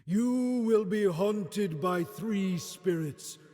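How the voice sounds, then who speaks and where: A solemn ghost of a dead man, pushed high and loud. He proclaims each warning with slow, portentous weight, in the grand manner of a storybook radio play. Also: lively intonation, long reverb tail, faint music behind, full character voice. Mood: ominous warning.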